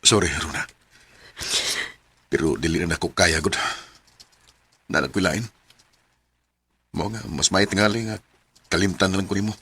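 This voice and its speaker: An actor playing a weary, frustrated young boyfriend in a Cebuano radio drama, speaking seriously. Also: male voice, low-pitched, moderate pace, long pauses, bright, forceful tone, husky, full character voice. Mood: resigned, sorry.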